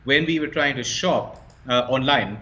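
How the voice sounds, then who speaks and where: A man's voice: medium pitch, a little slow, bright, forceful tone. A man explaining his startup as an interview guest, fluent and confident, stressing key points. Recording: slightly dull lo-fi sound.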